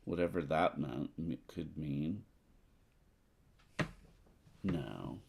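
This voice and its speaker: A man's voice: extremely low pitch, animated, drawn-out words, creaky, background noise. A man co-hosting a board game playthrough, chatting casually and reading story text aloud.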